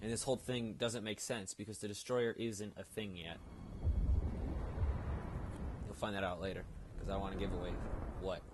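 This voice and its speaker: A man's voice: fairly low pitch, level tone, moderate pace, pauses often. A man hosting a gaming video with casual, explanatory commentary and rambling asides. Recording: loud background noise.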